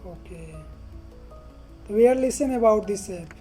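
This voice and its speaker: Mellow-voiced Indian woman host. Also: medium pitch, animated, unhurried, soft background music. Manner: asks short questions, acknowledges with brief okays. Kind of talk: casual language-practice chat.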